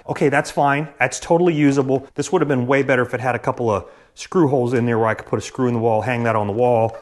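A man in his thirties talks straight to the camera from his garage, walking through a power tool's pros and cons. His voice sits at an ordinary middle pitch, and he explains things in a plain, practical, matter-of-fact way. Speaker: tool reviewer. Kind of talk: product review to camera. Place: garage, indoors.

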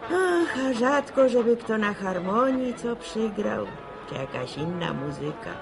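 Grumbling, slow-talking village mother. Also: medium pitch, lively intonation, gravelly, crowd noise. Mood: nostalgic. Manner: grumbling, sighing. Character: grumbling, nostalgic middle-aged village mother. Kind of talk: radio drama dialogue.

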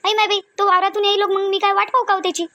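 A woman voicing chatty, bustling village women in a cartoon comedy, with a Varhadi Marathi accent and colloquial, animated chatter. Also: very high-pitched, racing, no room sound, studio quality. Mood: fretful. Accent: Varhadi Marathi from Vidarbha.

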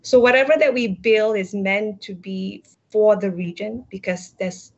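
A woman's voice: medium pitch, fairly even intonation, unhurried. A middle-aged woman explaining her work as a panelist on a virtual panel, speaking into an earphone headset over a video call. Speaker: panelist, company executive. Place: book-lined room indoors, over video call. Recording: dull, lo-fi video call audio.

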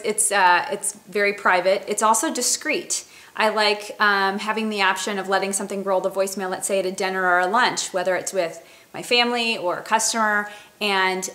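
A woman in her thirties talks casually into a close webcam in her kitchen. Her voice sounds bright and forward, and she chats along with frequent fillers and restarts. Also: mid-range voice. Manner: chatty, many fillers and restarts. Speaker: company representative. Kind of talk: casual product walkthrough. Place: home kitchen, indoors. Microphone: webcam at close range.

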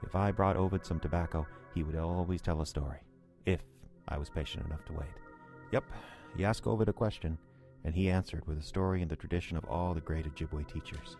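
Horror radio play narrator: reflective man recalling the past, very low voice, music underneath. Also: brisk. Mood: reflective, fond. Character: reflective male storyteller, repairman recalling eerie past. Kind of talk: scripted first-person horror drama narration.

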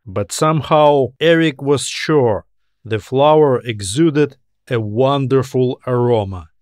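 A man reading a scripted science fiction story as its narrator, with a measured, deliberate delivery. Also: medium pitch, no room sound, clean studio sound.

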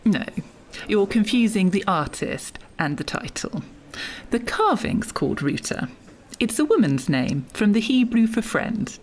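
An actress playing a brisk, stern museum official in an audio drama, formal, precise and curt. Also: female voice, mid-range voice, very animated, a little hiss or hum.

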